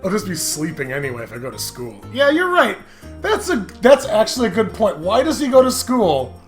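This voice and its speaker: Male let's play host, very lively swings in pitch, jokey and excitable, faint game music behind. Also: fairly quick. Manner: laughs often while talking. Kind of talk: casual comedic let's play commentary. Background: video game music.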